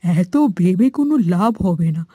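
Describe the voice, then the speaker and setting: A woman's voice: fairly low pitch, lively intonation, moderate pace, close to an everyday voice. A woman playing a caring, worried village mother with a plaintive, melodramatic cartoon delivery. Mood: tender, wistful. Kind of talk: dramatic cartoon dubbing.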